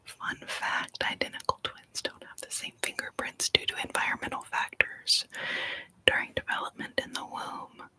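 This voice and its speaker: A young adult from Texas whispering playful tongue twisters and small talk in an ASMR video, each word shaped crisply. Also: normal speed, bright, forceful tone. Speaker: ASMR creator.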